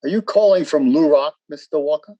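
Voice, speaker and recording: An actor playing a firm, procedural military security officer in a radio drama, formal, brisk and husky. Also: male voice, a little high, lo-fi sound, close to an everyday voice. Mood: guarded, official.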